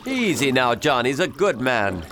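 An actor playing a bold pirate captain in an audio drama, speaking in a formal, declamatory way. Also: male voice, medium pitch, big pitch swings, a little slow, background noise. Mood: measured. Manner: well-spoken, declamatory.

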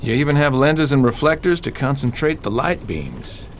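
Man as a courteous, animated radio-drama detective. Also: medium pitch, normal speed, a little hiss or hum, close to an everyday voice. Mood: impressed, probing. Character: courteous, observant male private investigator.

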